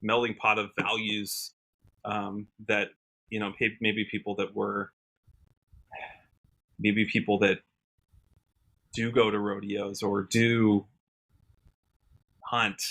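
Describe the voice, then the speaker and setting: A man with an American accent and a bright, forward voice. He talks casually as a podcast guest, earnestly circling his point, stopping and rewording as he goes. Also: on the low side, level tone, low-quality recording. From Washington state, USA. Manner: halting, earnest, trails off and rephrases often. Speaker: podcast guest, country singer. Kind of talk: casual interview chat.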